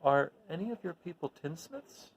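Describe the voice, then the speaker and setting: A man's voice: on the high side, animated, normal speed, gravelly, slightly rough, voice pushed up, full character voice, rough recording. Man reading as an earnest, lilting young girl. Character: earnest, caring young girl heroine of a fairy tale.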